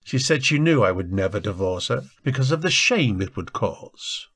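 An actor playing a guilt-ridden, anguished husband in a radio drama, speaking haltingly as emotion breaks through. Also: male voice, on the low side, expressive, a bit bright, slightly rough, exaggerated voice. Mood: tormented confession. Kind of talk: old-time radio drama acting.

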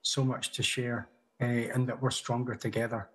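A man in his thirties giving a prepared conference talk over a video call from a room at home, measured, with small restarts. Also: mid-range voice, level tone, slightly rough. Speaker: conference speaker. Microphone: video call webcam.

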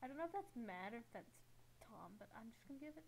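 A woman's voice: on the high side, lively intonation, fast, creaky. A woman casually dubbing fan comics as an amateur, rambling and correcting herself with a giggly manner. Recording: noisy amateur home recording.

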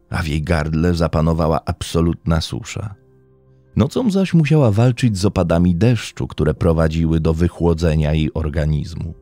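A man with a low, mellow voice tells a dark true story as a podcast narrator. His delivery is scripted and suspenseful, with lively swings in intonation. Faint music plays underneath. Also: creaky, no room sound. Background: music bed under the voice.

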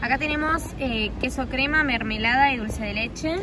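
Effusive young Argentine woman, fairly high voice. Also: normal speed, loud music behind the voice. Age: 20s. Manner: effusive, gushing. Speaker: vlogger. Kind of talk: casual chat to camera. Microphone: phone at arm's length.

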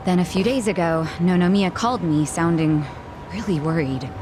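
A woman's voice: fairly low pitch, moderate pace, background noise, close to an everyday voice. An actress playing a worried, earnest young woman in a dramatic video game. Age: young adult. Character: worried young hostess, guarded, earnest twin sister.

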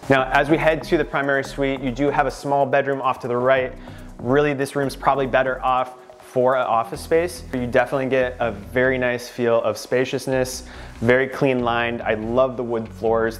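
A man in his thirties, a real estate agent narrating a walkthrough of a house in an upbeat, informative way. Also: medium pitch. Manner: upbeat, informative salesman patter. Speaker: real estate agent giving a home tour. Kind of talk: walkthrough home tour narration. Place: inside a renovated house.

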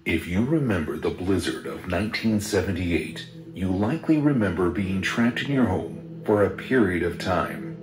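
A man reading a first-person horror story in a steady, measured storytelling voice. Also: deep voice. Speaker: narrator. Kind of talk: scripted horror story narration. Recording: roomy sound with music underneath.

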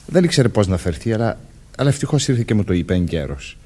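A man's voice: fairly low pitch, sing-song, mellow. An actor playing a reassuring undercover policeman posing as a major in a radio play, explaining things matter-of-factly. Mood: calm, explaining. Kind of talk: Greek radio play acting.

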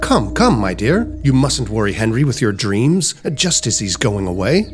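A man playing a kindly, patronizing Victorian husband in a theatrical audio drama, genteel and expressive. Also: lowish voice, moderate pace, faint music behind. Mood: soothing.

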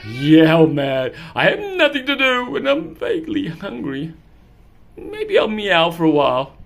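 A woman's voice: on the low side, huge pitch swings, vocal fry, a little hiss or hum, exaggerated voice. Woman doing a wildly swooping, whiny grumpy house cat. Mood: sulky, scheming. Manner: whiny, melodramatic, drawn-out delivery. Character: grumpy, dramatic, bored indoor house cat. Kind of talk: picture-book read-aloud.

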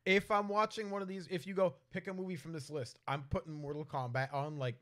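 A man's voice: on the high side, steady tone, brisk, a bit bright, no room sound, studio quality. Blunt man in casual chat. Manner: blunt, opinionated, heavy swearing. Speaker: podcast co-host. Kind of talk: casual film discussion.

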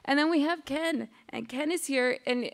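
A woman's voice: sits high, normal speed. A woman, who has a cold, introduces a conference panel from a podium microphone in a large lecture hall, enthusiastic and chatty with many fillers. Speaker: conference moderator.

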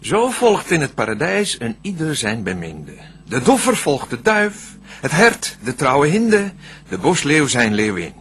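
An actor playing a cunning, smooth-tongued devil in a Dutch verse radio drama, declaiming deliberately with a husky voice. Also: male voice, a little high, huge pitch swings, fairly bright tone, exaggerated voice. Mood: persuasive, scheming. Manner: theatrical, scheming, deliberate declamation. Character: cunning, smooth-tongued male devil plotting to tempt mankind.

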